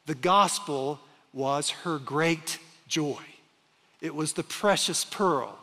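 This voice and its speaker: A man's voice: on the high side, a bit bright. Earnest man preaching in a reverberant hall. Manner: earnest, emphatic, with slow dramatic pauses and wide gestures. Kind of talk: sermon. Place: large church stage with reverb.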